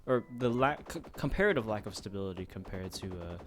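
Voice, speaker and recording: A man's voice: on the low side, animated, a little fast, soft background music. A man chatting casually and informally on a livestream as he plays, with a self-deprecating manner. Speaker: streamer. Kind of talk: casual livestream chat while gaming.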